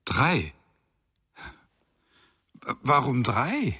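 A man playing a condescending cherub who hears a report in a radio play, speaking in a husky, terse and sceptical way. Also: mid-range voice, wildly swooping pitch, very slow, pushed and bright, heavily acted. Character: condescending male cherub, superior listening to a report.

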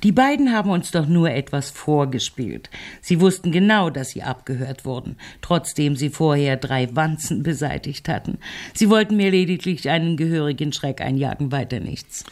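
An imperious, wealthy middle-aged aunt in a radio play. Her voice sits low. She speaks with pointed, mocking condescension, shrewd and fully in command. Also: expressive, moderate pace, darkish tone. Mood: dismissive. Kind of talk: German radio drama acting.

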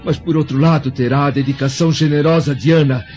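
A man's voice: fairly high pitch, steady tone, fast, darkish tone, close to an everyday voice. A man playing a proud, defiant aging Roman senator held captive in a radio drama, speaking in haughty, formal declamation. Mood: defiant, grave. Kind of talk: theatrical radio drama acting. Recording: dull lo-fi radio drama, music behind.

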